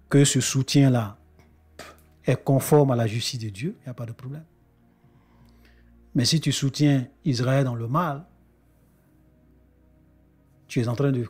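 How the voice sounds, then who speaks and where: A man's voice: medium pitch, a little mellow, slightly rough. A man with a cold preaching to his brothers and sisters in an earnest spoken sermon, often restarting his phrases. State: congested with a cold.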